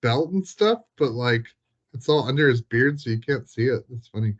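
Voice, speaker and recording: A man's voice: mid-range voice, lively intonation, moderate pace. A man chatting casually in a rambling, informal way, leaning on "like" as he talks, on a dull lo-fi recording. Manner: rambling, informal, heavy use of "like". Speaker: hobbyist tabletop game master. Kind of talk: casual chat.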